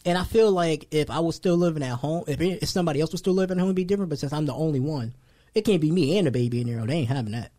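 A man in his thirties hosting a casual podcast chat, talking in a loose, slangy, animated way. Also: a little high, very fast. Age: 30s. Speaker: podcast host.

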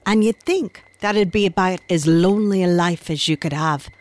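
A woman's voice: on the low side, normal speed, lightly acted. An actress playing an Irish countrywoman who tells a tale of her gran in a chatty, confiding, folksy way for a radio drama. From Ireland. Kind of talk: conversational storytelling in radio drama.

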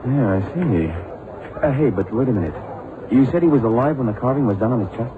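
An eager male sidekick, played by an actor questions everything with lively, swinging intonation. He is doubting and inquisitive, in a radio mystery. The recording is thin, with clear noise behind him. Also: mid-range voice, fast, a crowd in the background, lightly acted. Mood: puzzled, sceptical. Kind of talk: 1960s-70s radio drama acting. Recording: very noisy, narrow old radio recording.

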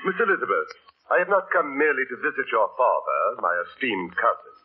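An actor playing a pompous, stiff clergyman who speaks formally and haltingly, in 1940s radio drama style. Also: medium pitch, wildly swooping pitch, husky, narrow, tinny audio. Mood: pompous, earnest. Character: pompous, stiff clergyman clumsily proposing marriage. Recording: band-limited vintage 1940s radio broadcast.

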